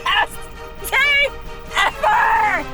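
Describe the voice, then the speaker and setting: A woman playing a giddy, excitable young fangirl henchwoman in an audio drama, gushing and bubbly. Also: very high voice, very slow, loud music behind the voice, full character voice. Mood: starstruck glee.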